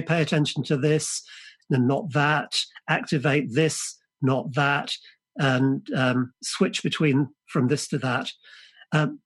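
A man whose first language is Polish, a guest author speaking in a podcast interview, thoughtful and halting, often restarting and repeating words. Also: medium pitch, slightly rough.

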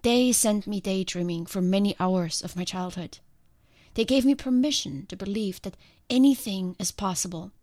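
A woman's voice: medium pitch, normal speed, no room sound, studio quality. Congested woman hosting a reflective podcast. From outside the country she now lives in. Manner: calm, earnest and reflective. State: congested from a sinus infection. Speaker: podcast host. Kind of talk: reflective solo podcast monologue.

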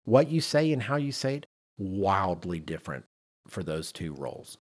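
Low-voiced man explaining things emphatically. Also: big pitch swings, moderate pace. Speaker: podcast co-host. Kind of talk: conversational podcast discussion on leadership.

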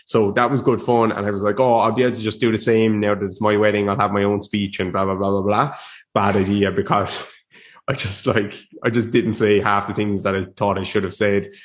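A man's voice: lowish voice, flat delivery, a little fast. A young man hosting his podcast in a casual, rambling chat, a little sniffly from a cold. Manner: rambling, chatty, with restarts and laughs. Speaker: podcast host.

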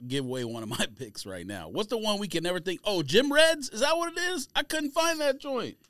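A man's voice: high voice, very animated, a little fast, fairly bright tone, no room sound. A man co-hosting a podcast in casual banter, speaking in a slangy, teasing, incredulous way. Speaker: podcast co-host.

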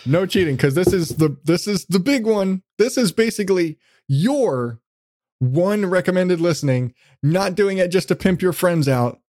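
Male podcast host, slightly high voice, dry close sound. Rambling, self-deprecating, sweary, conversational. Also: expressive, normal speed, darkish tone, no echo. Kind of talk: casual chat interview.

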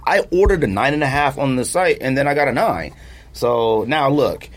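A man's voice: medium pitch, lively intonation, normal speed. A man in a casual sneaker chat, talking in an emphatic, slangy, opinionated way. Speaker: podcast co-host.

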